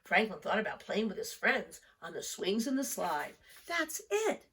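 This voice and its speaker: A woman reading a picture book aloud for young children, expressive and deliberate, with storytelling emphasis. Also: fairly low pitch, a little hiss or hum. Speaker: storybook reader.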